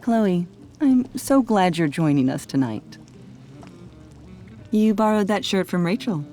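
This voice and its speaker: A woman's voice: mid-range voice, expressive, measured pace, mellow, faint music behind, natural voice. Lilting, gracious middle-aged mom, a woman acting. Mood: politely pointed. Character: gracious, prim middle-aged mother and hostess. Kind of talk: video game cutscene acting.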